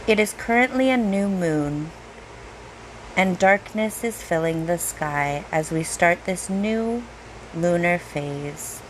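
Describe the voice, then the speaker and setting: A woman leading a guided meditation in a gentle, unhurried manner, leaving long pauses between phrases. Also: lowish voice, expressive, a little hiss or hum, low-quality recording. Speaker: meditation guide, reiki teacher. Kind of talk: guided meditation, slow soothing narration.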